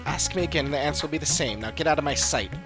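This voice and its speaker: A man puts on a hostile young hero-worshipper from a fantasy game. The speech is curt and disdainful, with music clearly underneath. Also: on the high side, very fast, close to an everyday voice. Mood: scornful. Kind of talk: scripted video game dialogue.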